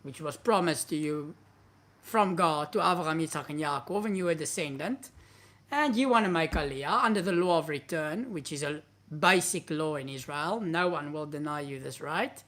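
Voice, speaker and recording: A man's voice: a little high, fairly bright tone. Blunt man with an Afrikaans accent. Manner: candid, blunt and conversational, gestures while talking. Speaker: host of a live question-and-answer stream. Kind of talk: casual live-stream Q&A, reading and answering viewer questions. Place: indoor home study with bookshelves. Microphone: webcam at a desk, seated.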